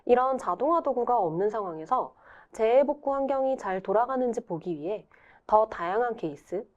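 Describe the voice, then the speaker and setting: A woman's voice: a little high, animated, moderate pace. A woman presenting in a webinar, explaining in a formal, polite way. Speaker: presenter explaining a software product. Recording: dull band-limited webinar audio.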